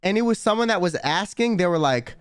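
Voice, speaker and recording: A man's voice: high voice, lively intonation, fairly quick, smooth, no room sound, clean studio sound. A young man streaming a casual late-night chat, rambling in slang and reading donations aloud. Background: faint background music.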